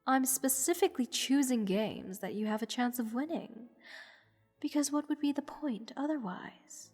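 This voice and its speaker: A woman playing a haughty, playful goddess who teases a captive mortal in an unhurried, condescending manner, in a roleplay audio drama. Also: high voice, darkish tone. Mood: smug, mocking. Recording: long hall reverb. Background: music.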